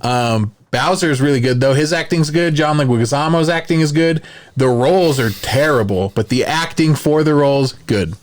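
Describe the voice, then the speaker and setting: A man's voice: a little high, moderate pace. A man hosting a podcast, chatting casually about films in an enthusiastic, rambling way. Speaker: podcast host.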